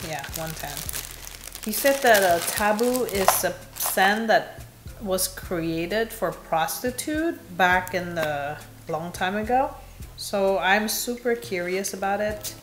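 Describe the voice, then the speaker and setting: Slow-talking woman in an echoey room. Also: medium pitch, lively intonation, noisy background. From Indonesia. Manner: chatty, candid, laughs at herself. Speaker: beauty vlogger. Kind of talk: casual perfume review. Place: small bright room with window blinds.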